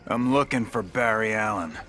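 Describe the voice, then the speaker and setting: Menacing low-voiced time-traveling villain. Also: male voice, moderate pace. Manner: threatening. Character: menacing male villain from the future. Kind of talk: video game character acting. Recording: loud game music behind.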